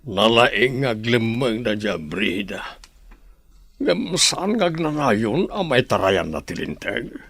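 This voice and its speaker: A man's voice: medium pitch, very animated, takes it slowly, fairly bright tone, exaggerated voice. A man playing a stern, resentful older brother in a radio drama. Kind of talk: Ilocano radio drama acting.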